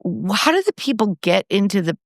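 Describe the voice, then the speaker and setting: A woman, the mother half of a podcast duo, chatting casually and telling stories with lively restarts and laughs. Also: a little fast, creaky, no room sound, clean studio sound. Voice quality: low voice. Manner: animated storytelling, frequent restarts and laughs. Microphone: headphones on, studio-style setup.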